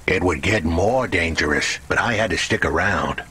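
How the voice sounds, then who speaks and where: An actor playing a grim, stubborn, fatalistic investigator in a video game. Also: male voice, sits low, lively intonation, normal speed, pushed and bright, breathy edge, heavily acted. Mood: resigned, determined.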